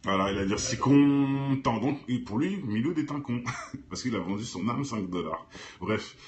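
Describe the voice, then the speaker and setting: A man's voice: mid-range voice, animated, moderate pace, a little hiss or hum. A man hosting an informal, off-the-cuff reaction video in an opinionated, argumentative, colloquial way. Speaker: video host, commentator.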